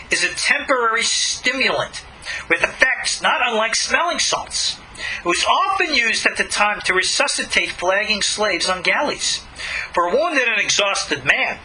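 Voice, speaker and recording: Guy with a high voice reading out a religious lecture, really emphatic and dramatic, faint noise behind him. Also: sharp tone, poor audio. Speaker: rabbi giving a religious lecture. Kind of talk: reading aloud an argumentative text, lecture.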